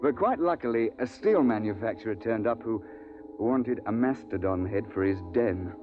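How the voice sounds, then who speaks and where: An actor playing a seasoned big-game hunting guide who also narrates, in a 1950s radio drama, clipped and dryly ironic. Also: male voice, fairly low pitch, lively intonation, moderate pace, close to an everyday voice. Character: seasoned male time-travel big-game hunting guide, dry and unflappable. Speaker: narrator and lead character. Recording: dull old radio recording with music.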